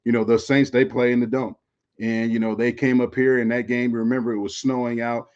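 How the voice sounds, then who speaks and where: Casting: American male ex-athlete podcast co-host, mid-pitched, conversational, repetitive phrasing, slightly dull lo-fi audio. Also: little pitch movement, brisk, darkish tone. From Florida, United States. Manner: conversational, repeats phrases, often says "you know". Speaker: former pro football player, podcast co-host. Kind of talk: casual sports podcast chat.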